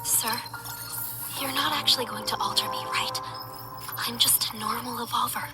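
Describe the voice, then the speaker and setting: An actress playing a defiant, anxious young heroine in a video game, earnest and challenging. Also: female voice, on the high side, fairly even intonation, normal speed, lightly acted. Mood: alarmed. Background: loud game music.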